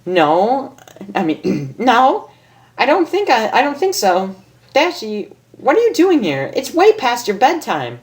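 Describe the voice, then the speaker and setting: A woman acts out an excitable female cartoon pony from a storybook tale. She is giggly and mischievous, with a high, sing-song voice that bounces widely in pitch. She sounds playful and cheerful by nature. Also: slow pace, full character voice. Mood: chirpy.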